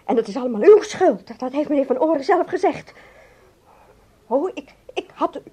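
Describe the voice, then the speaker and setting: An actress playing a prim, distraught secretary in a radio play, tearful, indignant and halting as she accuses. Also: sits high, lively intonation, warm tone. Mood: outraged, distressed. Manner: agitated, halting, repeating words in outrage. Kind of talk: Dutch radio play acting.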